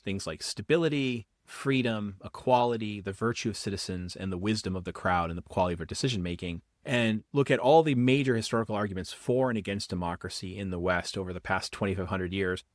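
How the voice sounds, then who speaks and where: A man, a professor from the Boston area, explaining ideas quickly in a casual podcast interview, often restarting his sentences. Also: fairly low pitch, dry recording, clean studio sound. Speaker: guest, political philosophy professor.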